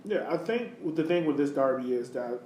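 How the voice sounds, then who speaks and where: A man with a fairly high-pitched voice talks casually as a podcast host. His delivery is conversational and peppered with "you know." The recording is roomy and echoey, with some noise behind him. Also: animated, a little fast, mellow. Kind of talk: casual soccer podcast discussion. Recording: roomy echo, clear background noise.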